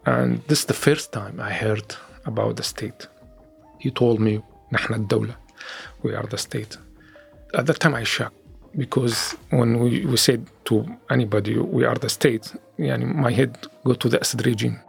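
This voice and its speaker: A man with a Syrian Arabic accent, a native Arabic speaker, giving testimony in an interview in halting second-language English with frequent restarts. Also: medium pitch, fairly even intonation, creaky, faint music behind. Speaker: interviewee, former activist.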